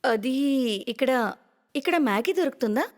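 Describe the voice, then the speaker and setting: A woman's voice: high-pitched, lively intonation, moderate pace, big-room sound. A woman voicing a carefree, naive young daughter-in-law in a story, with a casual, questioning manner. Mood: eager.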